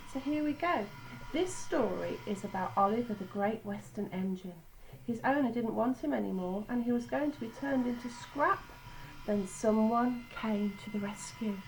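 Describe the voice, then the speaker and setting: Woman doing storytime, teacherly, highish voice, bit of background noise. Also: moderate pace, low-quality recording. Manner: teacherly, pointing out pictures and repeating words. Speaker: storyteller reading a children's picture book. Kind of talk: read-aloud storytelling with asides to children.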